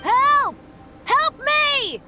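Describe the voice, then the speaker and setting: A boyish young adventurer in a video game, earnest and good-natured. The voice is high and very animated, apologetic in manner, with faint music behind. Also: female voice, very slow, exaggerated voice. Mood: pleading.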